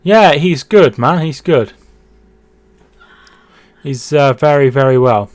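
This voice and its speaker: Brief: man, stream host, chatty and halting, dry close sound, middling pitch. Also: animated, no room sound. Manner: chatty, repeats words and restarts while reading chat. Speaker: streamer hosting a football watch-along. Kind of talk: casual live-stream chat with commentary.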